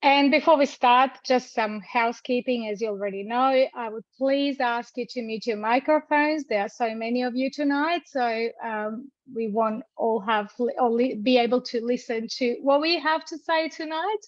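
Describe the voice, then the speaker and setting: Casting: higher-voiced woman, lecturer hosting an online session, friendly but halting, dry muffled call audio. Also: no echo. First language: Croatian. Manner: friendly, halting, with many restarts and fillers. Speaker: university lecturer hosting a webinar. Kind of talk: webinar housekeeping and introductions. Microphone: video call.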